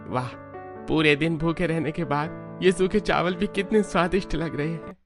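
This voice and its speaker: A man's voice: on the high side, moderate pace, close to an everyday voice. Man acting a tired, kindly labourer, music behind. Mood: grateful. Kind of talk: Hindi cartoon voice acting. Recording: music under the voice.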